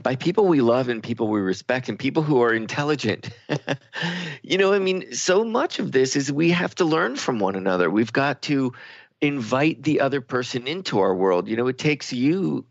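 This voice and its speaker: A man's voice: mid-range voice, sing-song, normal speed, vocal fry, no room sound. A man co-hosting a radio show in earnest, reflective conversation, often restarting phrases for emphasis. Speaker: radio co-host. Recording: slightly muffled, lo-fi radio audio.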